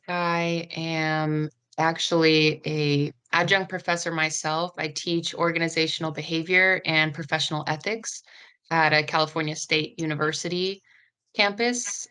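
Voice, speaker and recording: A woman's voice: low-pitched, steady tone, a little slow, pushed and bright, clear, resonant tone. A woman who is a doctoral student and adjunct professor, introducing herself plainly on a webinar video call.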